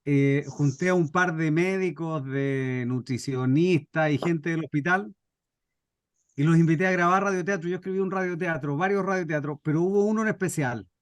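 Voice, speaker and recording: A man's voice: on the high side, moderate pace, dry recording. A middle-aged Chilean man introducing himself to a group and telling anecdotes informally, with somewhat hesitant phrasing. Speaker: radio drama scriptwriter and workshop speaker.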